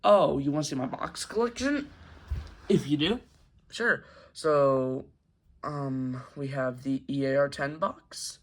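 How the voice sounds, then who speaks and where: Casting: male hobbyist video host, unhurried pace, halting off-the-cuff delivery, casual home review. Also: medium pitch. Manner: hesitant, halting, unscripted rambling. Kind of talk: casual show-and-tell review. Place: carpeted room at home.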